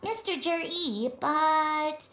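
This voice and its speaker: A woman's voice: sits high, very slow, fairly bright tone, reverberant, heavily acted. A woman voicing a courteous, businesslike young antiques shopkeeper giving sales patter in a video game.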